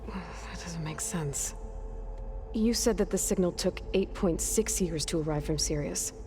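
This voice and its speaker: An actress playing a young, inquisitive, determined hunter in a video game, speaking in a direct, conversational way. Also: female voice, fairly low pitch, measured pace, over music, close to an everyday voice. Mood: puzzled. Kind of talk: scripted video game dialogue.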